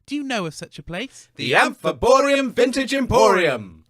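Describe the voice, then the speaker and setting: A man's voice: very high voice, sing-song, normal speed, a bit bright, dry recording, studio quality, full character voice. A man playing an eager character in a scripted comedy radio advert. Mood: earnest.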